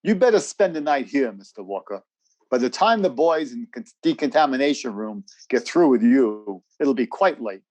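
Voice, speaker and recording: An actor playing a brisk, guarded, courteous army major in a radio drama, with a formal, clipped, official way of speaking. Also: male voice, mid-range voice, lively intonation, darkish tone, muffled recording, natural voice. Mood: firm, brisk.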